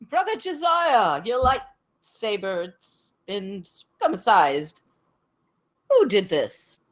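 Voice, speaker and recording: A woman's voice: medium pitch, very animated, drawn-out words, exaggerated voice. A woman reading the part of a swaggering college fraternity crowd member in a live table read. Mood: grave.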